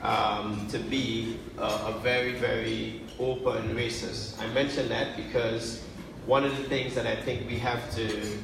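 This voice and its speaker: A man in his forties gives a deliberate, measured keynote, his low voice gravelly and held to a flat, even line. He is picked up from far off in a big, very echoey banquet hall, with steady noise behind him. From Trinidad and Tobago. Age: 40s. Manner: measured, deliberate, with frequent fillers. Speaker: university president giving a speech. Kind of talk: luncheon keynote speech. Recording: distant mic in a very echoey hall, noisy.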